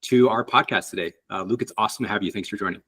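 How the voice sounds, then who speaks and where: A man's voice: fairly low pitch, racing, bright, forceful tone, slightly rough. A man hosting a casual interview, asking loose, meandering questions. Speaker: podcast host, interviewer.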